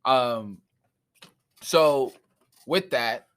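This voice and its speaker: Male rapper guest, 20s, relaxed storyteller. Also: medium pitch, clear, resonant tone. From Southern California. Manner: relaxed, laughs easily, drawn-out fillers. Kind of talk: casual storytelling. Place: recording studio.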